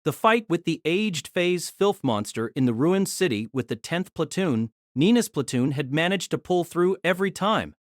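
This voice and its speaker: A woman's voice: sits low, expressive, normal speed, hardly any pauses, no echo, studio quality. A woman narrating a novel in a scripted, dramatic storytelling style.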